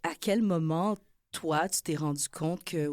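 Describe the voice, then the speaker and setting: Low-voiced woman hosting. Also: a little slow, darkish tone, dry recording, studio quality. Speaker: podcast host. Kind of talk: interview hosting.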